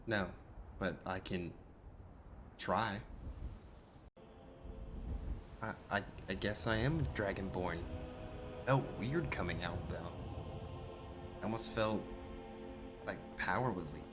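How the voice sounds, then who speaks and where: A man roleplaying a bewildered fantasy adventurer in a video game, thinking aloud in a halting, musing way. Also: low voice. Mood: hesitant. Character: bewildered, hesitant male adventurer in a fantasy world. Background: music.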